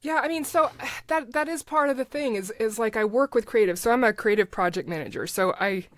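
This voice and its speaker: A woman's voice: fairly high pitch, animated, fairly quick. An American woman chatting casually as an interview guest, thinking aloud as she explains things informally. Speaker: podcast guest, voice actor and advertising project manager.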